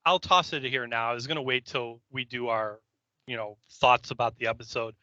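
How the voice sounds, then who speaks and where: A man's voice: mid-range voice, brisk, pushed and bright, no room sound. A man co-hosting a podcast, chatting casually in short, conversational remarks. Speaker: podcast co-host. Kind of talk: casual chat. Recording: slightly dull, dry close recording.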